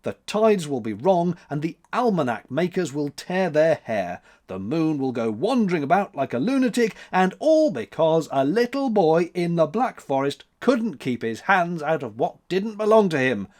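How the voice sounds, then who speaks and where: A man voicing a blustering, wild storybook fellow who complains loudly and indignantly. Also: on the high side, big pitch swings, moderate pace, few pauses, pitched above the performer's own voice. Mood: grumbling. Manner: loud, grumbling, blustering. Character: blustering, wild, indignant male month March.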